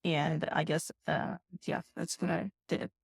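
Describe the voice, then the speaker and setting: A woman's voice: low-pitched, flat delivery, measured pace, gravelly, breathy edge, dry recording, clean studio sound. A woman from Kazakhstan, a guest entrepreneur chatting loosely in an interview, full of "like" fillers. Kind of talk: casual interview chat.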